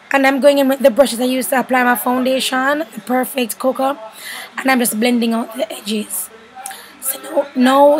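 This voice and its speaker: A young woman talks through her makeup routine in a rambling, chatty voiceover, stopping now and then to correct herself. Her voice sits a little high but comes out hoarse and stuffy. A faint hum of room noise runs underneath. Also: low-quality recording. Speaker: beauty vlogger. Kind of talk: casual makeup tutorial voiceover. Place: home bedroom studio.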